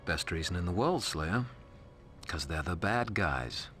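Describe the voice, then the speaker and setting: A man's voice: low-pitched, lively intonation, unhurried, fairly bright tone, faint music behind. An actor playing a sardonic, cocky male vampire with a British accent, speaking in a sneering, slangy, mocking way. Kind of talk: video game voice acting.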